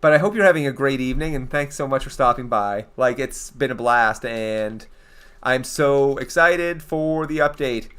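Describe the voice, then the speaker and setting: A man's voice: medium pitch, moderate pace, fairly bright tone, clean tone. A young man from eastern Canada with a Canadian accent, chatting casually and rambling on his game livestream as he answers his viewers. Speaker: game streamer.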